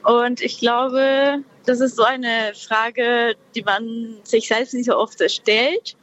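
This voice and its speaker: A woman's voice: a little high, little pitch movement, a bit bright. Thoughtful young woman. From Peru. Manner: reflective, slow, hesitant with many fillers. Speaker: interviewee. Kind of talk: casual conversation. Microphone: video call. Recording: dull lo-fi video call audio.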